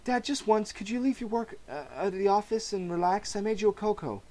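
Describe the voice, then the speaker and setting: A man's voice: sits high, fairly quick, warm tone, voice pushed up, natural voice. A man voicing a caring, fussy young woman chiding her father in a comic-book reading. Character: caring, nagging young adult daughter.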